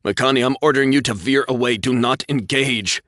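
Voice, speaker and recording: A man's voice: low voice, animated, normal speed, fairly bright tone, no room sound, studio quality. A man voicing a desperate young starship captain. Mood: urgent. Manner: urgent, barked orders.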